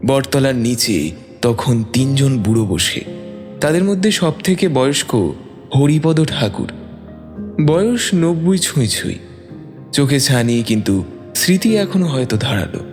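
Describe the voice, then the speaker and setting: Male storyteller, lively dramatic narration, mid-pitched, reverberant sound with music underneath. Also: a little slow, long pauses.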